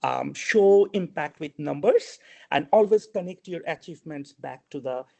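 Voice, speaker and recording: A man's voice: a little high, lively intonation, moderate pace, gravelly, a little mellow. A man from Bangladesh whose first language is not English, giving casual advice as a guest speaker in a webinar, with many fillers and restarts. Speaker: award-winning alumnus guest speaker.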